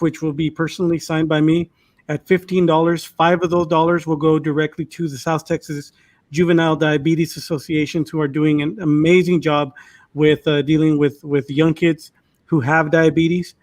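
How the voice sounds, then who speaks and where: A man's voice: a little high, level tone, normal speed, a little mellow. A man speaking informally as a guest author on an online panel, making announcements over a video call.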